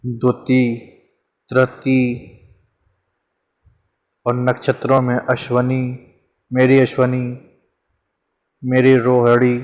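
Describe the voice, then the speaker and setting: A man's voice: medium pitch, monotone, slow, long pauses, a little mellow. A man teaching a Hindi grammar lesson in a casual, chatty way, asking questions and answering them himself. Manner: conversational, repeats examples, asks and answers himself.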